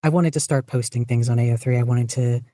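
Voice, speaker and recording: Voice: mid-range voice, fairly even intonation, fast, dark tone, dry recording, studio quality. A podcast guest chatting casually in an interview, hesitant and self-deprecating. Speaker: podcast guest, fan fiction writer and podficcer.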